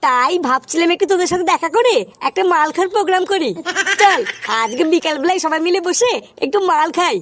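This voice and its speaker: A woman's voice: very high voice, expressive, fast talker, fairly bright tone, a little hiss or hum. A woman voicing a brash, boastful young man in a comedy cartoon, with cocky, slangy, foul-mouthed talk. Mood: casual grumbling. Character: brash, boastful young man, idle club-going layabout.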